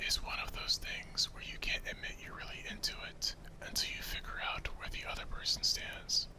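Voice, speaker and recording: A man's voice: fairly quick, pushed and bright. A man narrating a visual novel in a whispered ASMR style.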